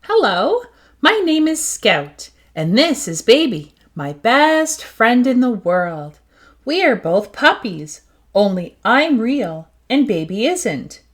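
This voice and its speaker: An eager, naive young puppy , voiced by a woman, tells its own picture-book story. The voice is a little high and swings widely in pitch, childlike and exuberant, with slow pacing for small listeners. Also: sing-song, heavily acted. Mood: excited, eager. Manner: exuberant, wide-eyed, childlike. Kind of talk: storybook read-aloud.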